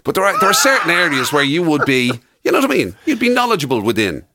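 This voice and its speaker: A man's voice: on the high side, sing-song, fast, heavy background noise. An Irish man co-hosting with casual comic banter, agreeing and egging his partner on. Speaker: radio and podcast co-host.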